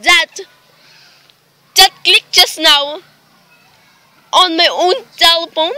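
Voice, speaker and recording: Woman acting anxious invalid wife, imperious, fretful, high sharp voice, unhurried pace, radio drama. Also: steady tone, no echo, exaggerated voice. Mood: terrified. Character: nervous, demanding invalid wife, terrified and alone.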